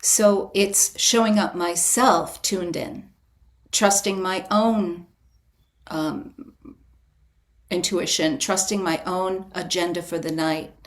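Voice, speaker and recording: A woman guest on a podcast interview, a writer and retreat leader, explaining her approach in a calm, invitational, measured way with frequent restarts. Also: lowish voice. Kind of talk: reflective interview answer.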